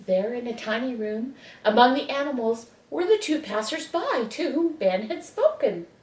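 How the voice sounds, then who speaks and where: A woman reading a children's bedtime story expressively, with dramatic pauses. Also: fairly high pitch, animated, darkish tone, slight background noise. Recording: echoey room, distant mic.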